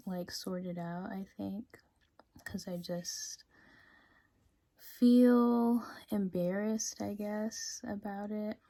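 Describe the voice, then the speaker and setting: Slow, mellow-voiced young woman vlogger. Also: medium pitch, dry recording. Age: 20s. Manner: chatty, full of "like" and "um", drops to soft, hushed talk. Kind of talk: casual confessional vlog chat.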